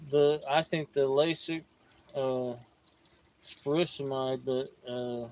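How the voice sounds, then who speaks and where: A man in his fifties, hosting a prospecting livestream outdoors and chatting in a relaxed, rambling way. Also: medium pitch, takes it slowly. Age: 50s. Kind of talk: casual outdoor chat. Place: outdoors by a creek.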